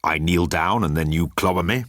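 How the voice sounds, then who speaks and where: A world-weary immortal alien acted out by a male narrator in a comic science fiction story. The voice is low and close, delivered in a wry, sardonic way. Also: normal speed, fairly bright tone, no room sound. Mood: resigned.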